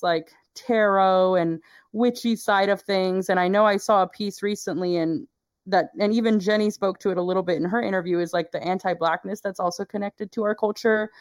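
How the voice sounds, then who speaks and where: Smooth-voiced affirming woman host. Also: medium pitch, dry recording, clean studio sound. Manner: affirming, encouraging. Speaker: podcast host. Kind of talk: casual interview chat. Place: home booth.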